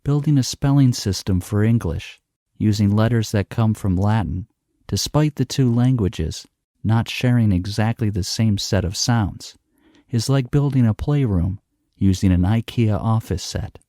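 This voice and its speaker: A man reading practice texts aloud in a formal, measured way. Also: lowish voice, warm tone, dry recording, studio quality. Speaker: reader of practice passages. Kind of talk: scripted read-aloud of study texts.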